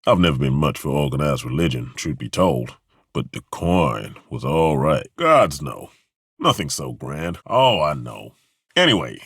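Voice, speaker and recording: An actor playing a gruff, blunt male ex-temple guard in a game, speaking with a very deep, commanding voice and a wry, casual way of swearing. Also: no room sound, studio quality, heavily acted. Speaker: companion in a game. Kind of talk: game voice acting. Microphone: studio microphone.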